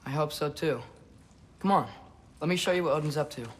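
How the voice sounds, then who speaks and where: A man's voice: on the high side, a little fast, lightly acted. A young actor playing an eager, earnest teenage boy.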